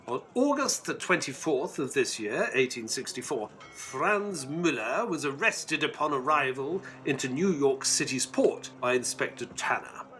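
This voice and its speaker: A man playing an earnest, excitable Victorian gentleman, a detective's companion and narrator, in an audio drama. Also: a little high, slight background noise, full character voice.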